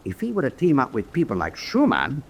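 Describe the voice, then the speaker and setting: An actor playing a hard-nosed, cynical officer in a wartime radio drama, blunt and skeptical. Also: male voice, mid-range voice, wildly swooping pitch, brisk, creaky, a little hiss or hum. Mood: urgent, warning.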